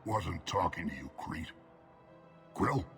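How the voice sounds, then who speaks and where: A gruff alien mercenary in a sci-fi game. His voice is deep and commanding. He speaks in terse, businesslike bursts over light music. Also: expressive, takes it slowly, fairly bright tone, heavily acted. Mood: stern. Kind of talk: video game acting.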